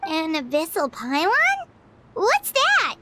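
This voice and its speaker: A woman voicing a small, excitable, childlike traveling companion with a squeaky voice in a video game. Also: slow, heavy background noise, full character voice. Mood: curious, worried.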